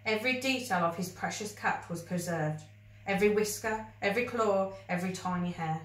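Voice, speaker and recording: A woman reading a children's story aloud with expressive narration. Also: mid-range voice, brisk. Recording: roomy, echoey, distant microphone.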